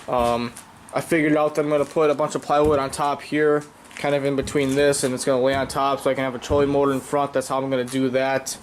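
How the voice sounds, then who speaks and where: Stuffy young guy with a cold, flat voice, lots of ums, doing a diy vlog. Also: fairly high pitch, slight background noise. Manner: casual, rambling, many fillers. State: congested from a cold. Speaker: vlogger. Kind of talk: casual how-to vlog.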